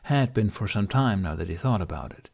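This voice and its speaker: A man reading an audiobook as narrator, in expressive scripted storytelling. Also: lowish voice, a little fast, creaky, husky.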